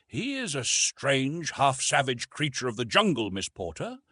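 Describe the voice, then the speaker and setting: A man voicing a formal, jealous gentleman in an audiobook, precise and insistent. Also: mid-range voice, huge pitch swings, a little slow, husky, no room sound, clean studio sound, full character voice. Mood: puzzled, insistent. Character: chivalrous but jealous gentleman, formal and sneering.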